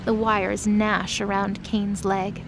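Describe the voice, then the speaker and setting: A woman's voice: mid-range voice, flat delivery, slow, noisy background. A woman giving dramatic scripted narration as the narrator of an audio drama.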